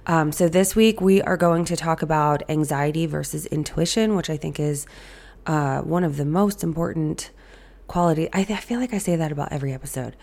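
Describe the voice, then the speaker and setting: Female podcast host, low and gravelly, hoarse and raspy from illness, casual chatty delivery. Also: brisk, darkish tone. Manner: chatty, loose, with many ums and restarts. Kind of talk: casual solo podcast talk.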